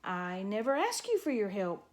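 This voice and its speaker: A woman's voice: mid-range voice, sing-song, normal speed, close to an everyday voice. A woman voicing a frightened young female deer mouse who pleads and stammers in a storybook reading.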